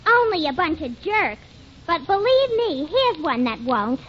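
A woman's voice: extremely high pitch, big pitch swings, measured pace, exaggerated voice. An actress playing a brash, scornful young woman with a sarcastic manner, in 1940s radio comedy style. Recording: muffled 1940s radio recording, faint noise.

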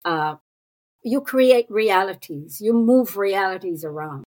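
A woman in her sixties from Israel, a psychotherapist speaking as an interview guest in an unhurried, thoughtful way with pauses and fillers. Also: mid-range voice, lively intonation, darkish tone. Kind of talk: reflective interview talk.

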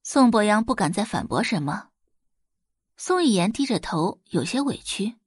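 A woman narrating a scripted story with expressive, dramatic delivery. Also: mid-range voice, normal speed, husky, no echo, clean studio sound.

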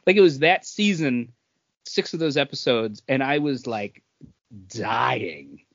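A man's voice: medium pitch, lively intonation, slow, a bit bright. A man chatting casually as a podcast host, telling anecdotes and acting out what people said. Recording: dull, echoey room sound.

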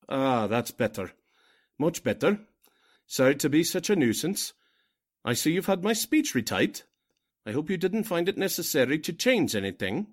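A man's voice: fairly high pitch, very animated, normal speed. A man playing a courteous, nervous Norwegian anti-Nazi leader in a radio drama, with formal, oratorical delivery. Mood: polite, nervous. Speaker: speech-maker.

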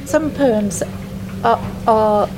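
A woman's voice: on the high side, extremely slow. A woman hosting the conversation, who reflects on the poem and affirms what her guest says. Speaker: host. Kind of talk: interview conversation. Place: bookshop, indoors. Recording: noisy public bookshop.

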